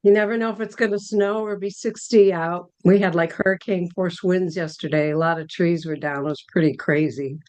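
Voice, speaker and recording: A woman's voice: on the low side, steady tone, brisk, hardly any pauses, a little mellow, poor audio. A woman from the United States taking part in a group session, chatting casually. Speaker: session participant. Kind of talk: casual chat.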